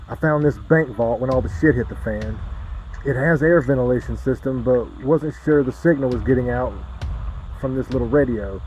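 A man's voice: medium pitch, normal speed, darkish tone, lightly acted. A man playing an anxious survivor alone in a vault, speaking urgently in an audio drama over a handheld radio. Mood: uneasy. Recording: muffled, noisy hand-held radio.

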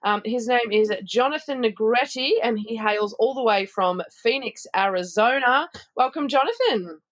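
A woman's voice: fairly high pitch, normal speed. A woman hosting a podcast interview, upbeat and chatty with frequent fillers. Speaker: podcast host.

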